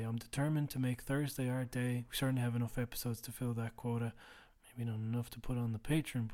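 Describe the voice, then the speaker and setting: A tired man hosting a podcast late at night, speaking low and quiet in a rambling, self-deprecating way. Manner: rambling, self-deprecating, drops to a mutter at times. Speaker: podcast host. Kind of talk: casual solo housekeeping chat.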